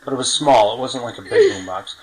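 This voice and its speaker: A man's voice: mid-range voice, wildly swooping pitch, fast, crowd noise, rough recording. A middle-aged man hosting a podcast, reminiscing casually in detail and correcting himself as he goes. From New York City. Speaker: podcast host.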